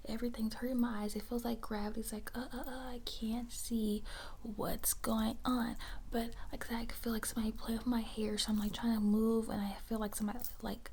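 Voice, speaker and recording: A woman whispering an ASMR storytime in a breathy, unvoiced voice, rambling and repeating words and phrases for soothing effect. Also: a little high, darkish tone, a little hiss or hum. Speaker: ASMR creator.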